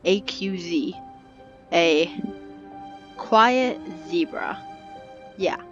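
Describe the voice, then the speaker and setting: A woman's voice: fairly low pitch, extremely slow. A young woman giving casual, playful and wry commentary on a video game, flippant, on a dull lo-fi microphone. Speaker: gamer commentating on her playthrough. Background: game music.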